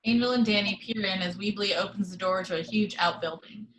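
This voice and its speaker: Woman reading stage directions, bright tone, even and steady. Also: medium pitch, a little fast, a little hiss or hum, low-quality recording. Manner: steady, matter-of-fact reading. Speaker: reader of the action description. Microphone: video call.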